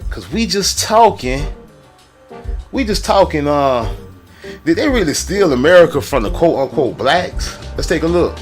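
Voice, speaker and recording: Loud excitable baritone man over music. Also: a little slow. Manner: excitable, joking, slangy and profane. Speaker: streamer host. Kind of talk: loud ranting commentary. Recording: music playing underneath.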